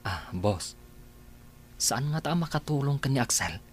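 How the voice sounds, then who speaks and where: A man's voice: mid-range voice, very animated, measured pace, a little hiss or hum. A man playing a loyal, deferential farmhand who speaks earnestly to his boss, in a radio drama. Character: loyal farmhand, uncle figure to the boy.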